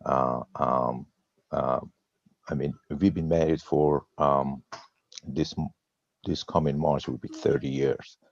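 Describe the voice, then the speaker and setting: Very deep, slow Persian-accented man. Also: fairly even intonation, dry recording, highs cut off. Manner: halting, repeats words, stutters. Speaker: guest trader. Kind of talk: personal story on a webinar. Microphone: video call.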